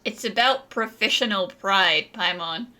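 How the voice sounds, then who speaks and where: Bright, teasing woman gamer. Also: mid-range voice, a little slow. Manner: teasing, blunt, laughs readily. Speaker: gaming streamer playing through a game. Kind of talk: casual reaction commentary.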